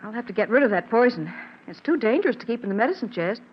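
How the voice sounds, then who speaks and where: A woman's voice: a little high, animated, quick pace, breathy edge. An actress playing a polite but uneasy wife and mother in a 1940s radio drama, speaking with careful gentility. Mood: controlled, wary. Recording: muffled 1940s radio recording.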